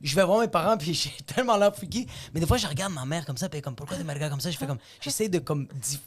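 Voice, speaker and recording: Homme, humoriste invité, parle vite, ton de bavardage, accent québécois. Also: male voice, on the high side, quick pace. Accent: Quebec French. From Quebec. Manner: animated storyteller, slips into voices when quoting people. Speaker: guest comedian. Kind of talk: casual podcast chat.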